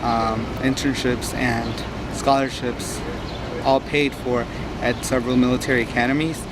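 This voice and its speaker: Hesitant young man, flat voice, noisy street. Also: mid-range voice, measured pace. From Mexico. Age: young adult. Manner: hesitant, many fillers and restarts. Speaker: interviewee, student. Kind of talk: personal testimony. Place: outdoors at a rally. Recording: loud outdoor background noise.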